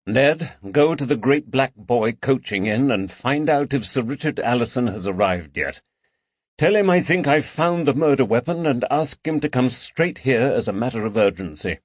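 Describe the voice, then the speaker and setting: A male narrator plays a grave, methodical period detective in an audiobook. He speaks deliberately and with command, at a middle pitch. The sound is dry and a little dull. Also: animated, dry recording, lightly acted. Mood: grimly decisive.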